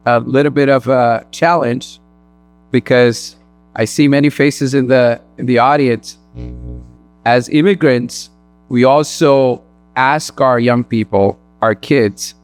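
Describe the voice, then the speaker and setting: A man from Sri Lanka speaks as a guest, earnestly and in quick bursts. His voice sits at a middle pitch and sounds dry and close, with no room sound. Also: clear, resonant tone, no room sound. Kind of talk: personal talk at a community event. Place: mosque.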